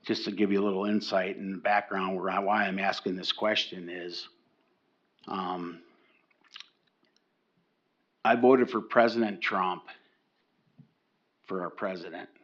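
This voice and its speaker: Slow, halting older man at a meeting. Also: sits low, steady tone, lo-fi sound. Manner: halting, repeating words and restarting. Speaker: public commenter. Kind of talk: public comment at a county meeting. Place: county commission meeting room.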